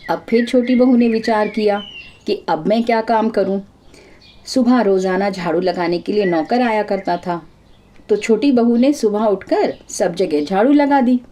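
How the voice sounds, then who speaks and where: A woman narrates a traditional moral story from a script. Her voice is mellow and relaxed, at a middle pitch, as she tells the tale to listeners. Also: unhurried.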